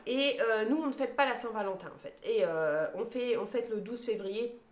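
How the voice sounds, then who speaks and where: Woman, 30s, slightly high voice, casual vlog chat, lots of "euh", roomy sound. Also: normal speed. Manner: chatty, lots of hesitation fillers, lists items one by one. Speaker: vlogger showing her grocery haul. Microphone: phone camera.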